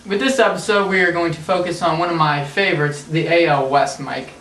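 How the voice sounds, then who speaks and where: A man's voice: a little high, fairly even intonation, fairly quick, fairly bright tone, a little hiss or hum. A man a little under the weather, co-hosting a casual sports chat and giving blunt opinions with plenty of fillers. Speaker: podcast co-host. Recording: echoey room, far from the mic.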